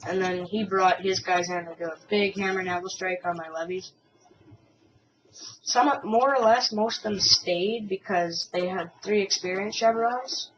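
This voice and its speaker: A woman giving casual, hesitant commentary on a replay of a strategy game battle, a bit hoarse from a cold. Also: medium pitch. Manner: hesitant, many ums and restarts. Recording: distant, muffled mic with faint hiss.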